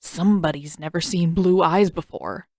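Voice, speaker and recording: A woman's voice: on the low side, normal speed, dark tone, dry recording, lightly acted. Snarky teen older brother for an audiobook, played by a woman, defensive and emphatic, close dry sound. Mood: sarcastic. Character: teenage boy, sarcastic indignant big brother.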